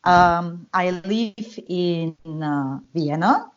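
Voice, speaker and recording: A woman's voice: lowish voice, very slow. Bulgarian-accented woman, muffled call audio. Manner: hesitant, many fillers and restarts. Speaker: guest analyst. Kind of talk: podcast interview, explaining.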